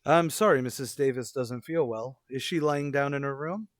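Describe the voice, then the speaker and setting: A man's voice: mid-range voice, normal speed, dry recording, studio quality, lightly acted. A man playing an awkward, literal-minded, bashful suitor in an old-time radio sitcom, hesitant and understated. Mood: earnest, oblivious.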